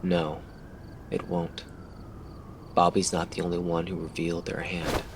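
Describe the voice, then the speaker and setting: A man playing a determined, tough police detective in an audio drama, firm, deliberate and confrontational. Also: low voice, monotone, background noise. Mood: guarded, confident.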